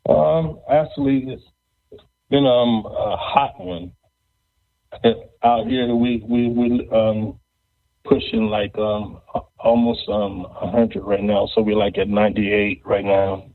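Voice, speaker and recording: A man hosting a casual interview show, speaking haltingly and often repeating words. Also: lowish voice, level tone. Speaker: podcast host. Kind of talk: casual interview and event announcements. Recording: thin phone-like, band-limited audio.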